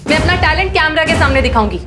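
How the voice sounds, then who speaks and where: An actress playing a young aspiring actress from Bengal in a TV crime drama, earnest and pleading. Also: female voice, high voice, lively intonation, racing, reverberant, over loud music. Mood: defiant.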